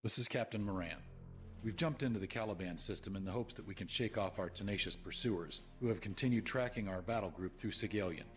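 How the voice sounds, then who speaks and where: Low-voiced, grave starship captain, acted by a man. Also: a bit bright, lightly acted. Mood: formal briefing. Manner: measured, formal. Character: grave, dignified male starship captain in a space game. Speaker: ship's captain. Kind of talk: solemn scripted speech and briefing. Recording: music behind the voice.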